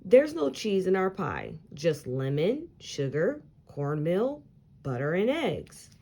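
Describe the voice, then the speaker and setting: A woman's voice: lowish voice, huge pitch swings, slow, warm tone, husky, exaggerated voice. A woman voicing a friendly uncle in a picture book, explaining things in an informative, reassuring manner. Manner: informative, reassuring storybook dialogue.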